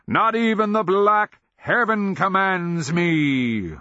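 A man voicing an ancient, proud undead dragon with slow, grandiose roaring declamation in a fantasy audiobook. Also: high voice, sharp tone, dry recording, highs cut off, pitched above the performer's own voice, full character voice. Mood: proud, thundering. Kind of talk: fantasy audiobook character acting.

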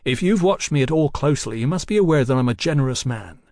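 Storybook gentleman, haughty, formal, commanding, mid-pitched male narrator performing. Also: brisk, no room sound, studio quality, lightly acted. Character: self-assured gentleman doctor, haughty and generous.

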